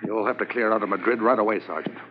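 An actor playing an undercover Mountie posing as a wealthy crook, talking in a nervous, hesitant way in 1950s radio drama style. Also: male voice, fairly low pitch, very fast, close to an everyday voice. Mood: urgent. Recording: dull lo-fi 1950s radio transcription with loud hiss.

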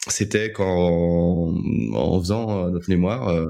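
Low-pitched young man, hesitant storyteller. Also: fairly even intonation, slow pace, vocal fry, dark tone. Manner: hesitant, often repeating words. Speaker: interview guest, co-founder of a digital media outlet. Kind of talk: casual interview storytelling.

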